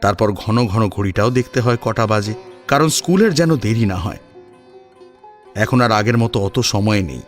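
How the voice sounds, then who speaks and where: A man's voice: lowish voice, animated, moderate pace. A man narrating a scripted story expressively, as the narrator of an audio drama. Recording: music under the voice.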